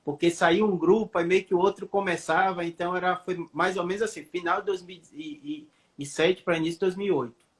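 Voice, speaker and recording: High-voiced man in his thirties from Bahia. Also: steady tone, fairly quick. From Salvador, Bahia, Brazil. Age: 30s. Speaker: neurosurgeon guest. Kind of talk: casual interview answers.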